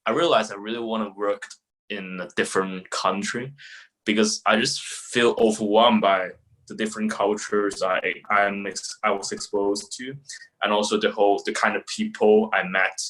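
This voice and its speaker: A man's voice: on the low side, fairly even intonation, moderate pace, a bit bright, rough recording. A man in his twenties with a Hong Kong accent, answering casually and informally as a podcast guest. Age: 20s. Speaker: podcast guest, young lawyer.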